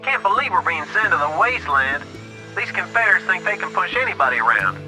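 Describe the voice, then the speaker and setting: A weary colonist in a sci-fi game. His strained, disgruntled voice is very high for a man. Music is clear behind him. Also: very animated, fast, sharp tone, heavily acted. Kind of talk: video game voice acting. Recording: music over the dialogue.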